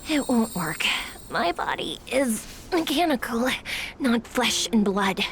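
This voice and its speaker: A woman voicing a stoic mechanical puppet judge in a video game, terse, literal and measured. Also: fairly high pitch, very animated, slight background noise, full character voice. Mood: matter-of-fact. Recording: faint game music behind the voice.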